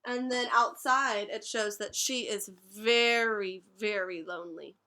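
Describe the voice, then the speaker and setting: A young woman teaching a class, reading a picture book aloud and pausing to explain words and ask questions. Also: mid-range voice, bright, forceful tone. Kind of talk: read-aloud storytime lesson.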